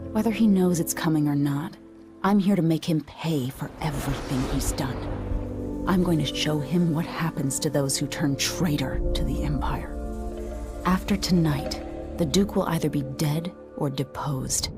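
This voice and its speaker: An actress playing a vengeful, resolute young empress in a video game, with a controlled, grim, understated delivery. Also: female voice, low-pitched, lightly acted. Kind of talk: video game dramatic acting.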